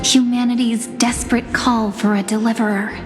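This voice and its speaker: Fairly high, gentle young heroine played by a woman. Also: level tone, moderate pace, lightly acted. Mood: hopeful, sincere. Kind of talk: dramatic fantasy game voice acting. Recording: long reverb, music behind.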